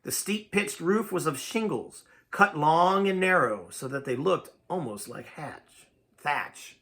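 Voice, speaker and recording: A man's voice: fairly high pitch, expressive, slow pace, fairly bright tone. A man reading a first-person tale aloud in a dramatic, folksy storytelling manner. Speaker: amateur audiobook reader.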